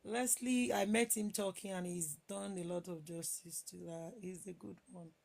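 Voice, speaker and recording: Female guest, Nigerian accent, tired and croaky with gravelly fry, mellow tone, casual chat. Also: lowish voice, fairly even intonation, normal speed.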